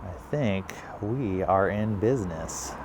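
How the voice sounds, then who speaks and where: Male vlog host, lowish voice, casual chatty delivery, some noise behind him. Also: lively intonation, slow pace. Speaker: video creator and host.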